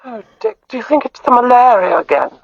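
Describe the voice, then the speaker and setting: An actress playing a concerned, affectionate wife in a radio drama. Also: female voice, on the high side, big pitch swings, brisk, gravelly, breathy edge, muffled recording, full character voice. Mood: worried.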